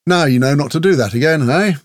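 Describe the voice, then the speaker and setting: A man's voice: a little high, expressive, fast, dry recording, clean studio sound, pitched above the performer's own voice, close to an everyday voice. A man voicing a cheery, teasing small warrior in an audiobook, chatty and colloquial. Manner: chatty, colloquial, tags questions with eh. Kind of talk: audiobook character dialogue.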